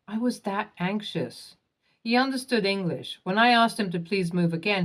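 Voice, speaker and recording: A woman with a somewhat low voice narrates online stories in a scripted manner. Her delivery stays even and measured, and she spells out acronyms letter by letter.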